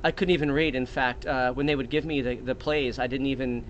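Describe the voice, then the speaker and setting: A man rambles through a made-up life story with mock sincerity, stopping and restarting his thoughts. His voice sits at a middle pitch. A faint hum of background noise runs under it. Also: steady tone, fairly quick, muffled recording. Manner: rambling, self-interrupting, mock-earnest. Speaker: comedic storyteller. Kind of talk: improvised comic monologue.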